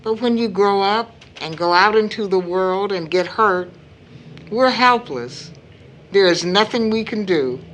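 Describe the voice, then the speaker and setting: Weary, expressive mother of sixty. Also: mid-range voice, a little slow, a little hiss or hum. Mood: wistful, worried. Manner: gentle, reflective. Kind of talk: audio drama acting.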